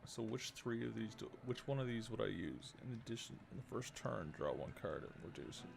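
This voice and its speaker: A man with a fairly low, heavily fried voice does casual live game commentary. He mutters and thinks aloud, over clear background noise. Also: animated, fairly quick. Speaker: game streamer.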